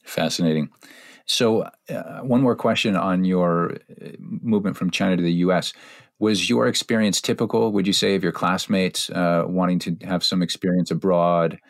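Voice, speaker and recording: A man's voice: low-pitched, steady tone, moderate pace, gravelly, dry recording, clean studio sound. A middle-aged man interviewing a guest, curious and chatty, asking long questions. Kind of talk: conversational interview.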